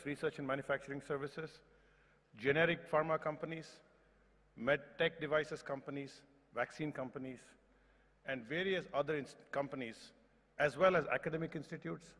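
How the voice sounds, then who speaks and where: A man's voice: fairly high pitch, fairly bright tone. A man in his forties with an Indian accent, giving a formal conference speech at a podium microphone in a large hall. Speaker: company executive speaker. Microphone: podium gooseneck microphone. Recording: reverberant hall public address.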